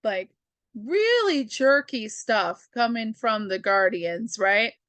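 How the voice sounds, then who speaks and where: A woman's voice: on the high side, animated, slow pace, fairly bright tone, no room sound. A woman hosting a comics review, retelling a story in casual, slangy, chatty language and slipping into the characters' dialogue. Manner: slangy and informal, acts out characters' dialogue in own voice. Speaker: host of a comics review show.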